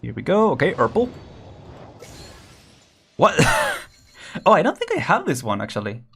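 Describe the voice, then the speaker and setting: A man's voice: high-pitched, wildly swooping pitch, a little slow, vocal fry, a bit bright, slightly rough. A young man casually commenting while streaming a game, chatty and laughing often, counting down as he plays. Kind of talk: casual live gameplay commentary.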